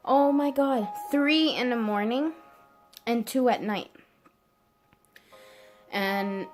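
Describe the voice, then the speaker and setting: Slow, hesitant young woman. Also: mid-range voice. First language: French. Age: early 20s. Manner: hesitant, halting. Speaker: vlogger. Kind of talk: casual personal vlog to camera.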